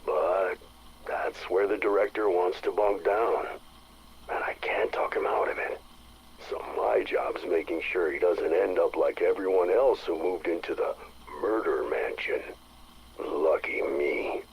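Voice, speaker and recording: A plain-spoken chief of security with a low voice, recording an informal game log. He muses wryly and warily, with faint noise in the background. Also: measured pace, rough recording. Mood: uneasy, wry. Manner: wry, musing, plain-spoken. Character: wry, uneasy male security chief, superstitiously wary.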